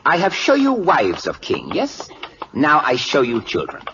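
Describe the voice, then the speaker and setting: A man's voice: on the high side, wildly swooping pitch, measured pace, noisy background. An actor playing an imperious, impatient King of Siam in an old radio drama, with a Siamese accent and broken English, barking repeated questions and commands. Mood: pleased, commanding. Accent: put-on Siamese. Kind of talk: 1940s radio drama acting. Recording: dull lo-fi old radio recording.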